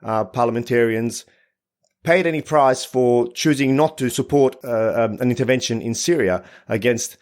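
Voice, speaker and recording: A man from Bosnia hosting a podcast interview, asking long questions in a hesitant, halting way. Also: mid-range voice. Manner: hesitant, halting, many fillers and restarts. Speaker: podcast host.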